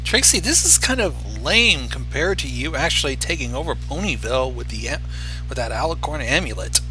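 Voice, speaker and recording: A man's voice: mid-range voice, expressive, moderate pace, sharp tone, soft background music, poor audio. A man giving blunt, sardonic reaction commentary in a casual way as a co-host. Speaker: co-host commenting on a fan fiction reading.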